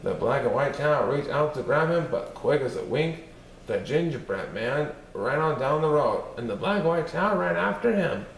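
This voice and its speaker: A man reading a children's story aloud in a lively, expressive way. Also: fairly high pitch, normal speed, vocal fry, far from the mic, a little hiss or hum. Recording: roomy echo, faint ambience.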